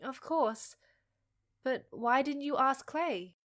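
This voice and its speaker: Actress as a high-voiced, savvy fantasy half-fae woman. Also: expressive, slow pace. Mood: thoughtful. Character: frank, savvy young half-fae woman, teasing but supportive. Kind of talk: audio drama acting.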